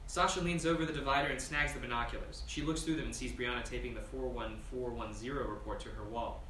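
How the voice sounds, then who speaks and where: Male stage-directions reader, mid pitch, scripted narration, echoey and slightly noisy. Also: fairly even intonation, fast talker, sharp tone. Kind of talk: scripted narration at a table read. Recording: echoey, distant room mic with noise.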